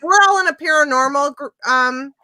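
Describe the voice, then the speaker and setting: A woman co-hosting a casual online radio show, excitable and giggly, often repeating herself. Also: high voice, normal speed. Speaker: co-host.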